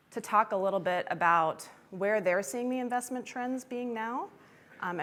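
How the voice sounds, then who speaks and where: A woman's voice: mid-range voice, a bit bright. Woman panel moderator, upbeat and organized, mid-range voice, conference stage.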